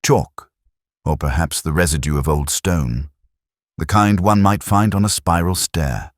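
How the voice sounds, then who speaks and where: A man playing a melancholy, reflective police detective, narrating a mystery in the first person with a measured, literary delivery. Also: low voice, no echo, clean studio sound. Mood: brooding, watchful. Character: melancholy, reflective older male police detective recounting a case.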